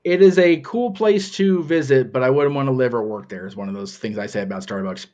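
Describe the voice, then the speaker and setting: A man's voice: mid-range voice, a little fast, a little mellow, highs cut off. A man co-hosting a podcast, rambling casually with stammered restarts and loose swearing. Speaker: podcast co-host. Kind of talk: casual chat.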